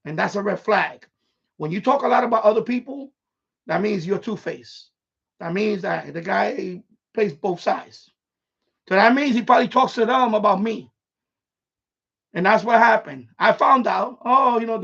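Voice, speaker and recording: New guy rambling on a livestream, blunt n sweary, high raspy-ish husky voice, kinda dull lo-fi audio. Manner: blunt, profane, repeats himself, animated. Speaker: live stream host. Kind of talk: casual rambling storytelling on a live stream.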